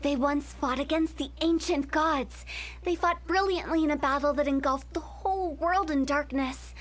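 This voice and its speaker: A woman's voice: high-pitched, moderate pace, close to an everyday voice. A woman voicing a playful young female funeral parlor director in a video game, breezy and teasing as she explains things. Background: game music.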